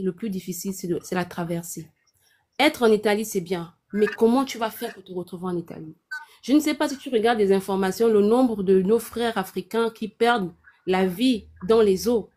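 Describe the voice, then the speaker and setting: A woman's voice: medium pitch, mellow. A woman from Gabon with a Gabonese French accent, giving earnest, insistent big-sisterly advice on a live call-in panel.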